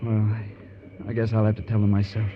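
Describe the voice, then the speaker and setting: A man's voice: on the low side, monotone, fairly quick, slight background noise, natural voice. A man playing a weary, troubled contractor. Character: troubled male contractor boss. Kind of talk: 1940s radio acting. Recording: dull old radio recording.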